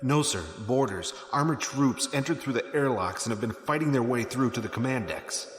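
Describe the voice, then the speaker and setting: An actor playing a dutiful military lieutenant in a sci-fi audio drama, making formal, urgent reports. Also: male voice, mid-range voice, lively intonation, normal speed, close to an everyday voice. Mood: formal concern. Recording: echoey hall reverb, noisy ambience.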